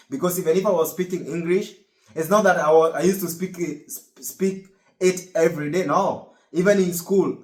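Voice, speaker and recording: Kenyan-accented young man, echoey room. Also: a little high. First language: Kikuyu. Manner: rambling, repeats and restarts phrases. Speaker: vlogger. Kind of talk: casual motivational talk to camera.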